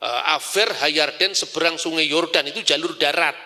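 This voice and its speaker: A man with a Javanese accent giving an animated religious lecture, asking rhetorical questions and slipping into Javanese phrases. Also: a little high, moderate pace.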